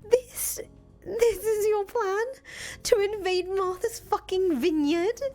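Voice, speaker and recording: A woman's voice: very high-pitched, steady tone, slow, a little mellow, voice pushed up. A woman voicing an incredulous, sarcastic young woman in a visual novel, exasperated and foul-mouthed. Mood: incredulous, alarmed. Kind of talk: visual novel character voice reading. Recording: music playing behind the voice.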